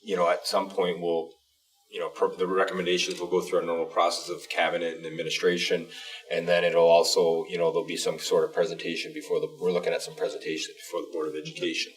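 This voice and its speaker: Low-pitched man, rambling and folksy. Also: monotone, fast, distant mic, low-quality recording. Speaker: school superintendent, show co-host. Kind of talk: casual chat.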